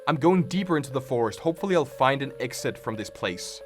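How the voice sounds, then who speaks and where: A man's voice: medium pitch, animated, fast. A man hosting a reaction video, joking and thinking aloud as he comments casually and reads captions out. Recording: music playing behind the voice. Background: background music.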